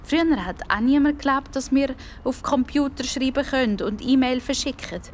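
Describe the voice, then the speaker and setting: Woman voicing a bright-eyed little girl, pitched up high. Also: moderate pace, slight background noise, lightly acted. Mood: playful, earnest. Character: eager, plucky young girl, playful and quick.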